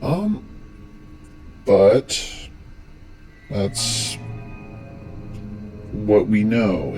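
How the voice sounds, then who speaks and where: Low-voiced man narrating over music. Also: darkish tone. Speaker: host, narrator of horror explainer videos. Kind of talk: scripted commentary narration. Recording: music under the voice.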